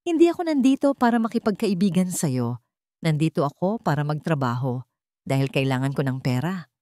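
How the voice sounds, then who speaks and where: Woman as proud, desperate young single mother, low-pitched. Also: sing-song, brisk, mellow, no room sound, studio quality. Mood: defiant. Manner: firm, emphatic.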